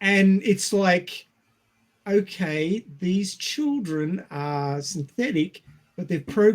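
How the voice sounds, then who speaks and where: A man with a notably high voice co-hosts a casual film discussion. He speaks at a slow, unhurried pace, chatting informally. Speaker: podcast co-host.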